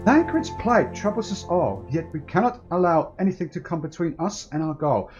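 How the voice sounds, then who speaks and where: A man voicing a solemn, resolute fantasy game leader with formal, measured delivery. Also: on the high side, sing-song, dull audio, over music, voice pushed up.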